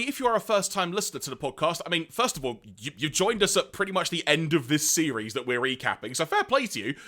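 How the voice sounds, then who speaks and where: A man co-hosting a podcast in casual chat, his voice slightly hoarse, animated and chatty with big swings in pitch. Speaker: podcast co-host.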